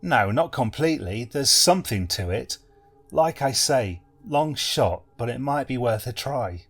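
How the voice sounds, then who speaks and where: A man's voice: mid-range voice, expressive, unhurried, breathy edge, soft background music. A man voicing a chatty, friendly male police contact.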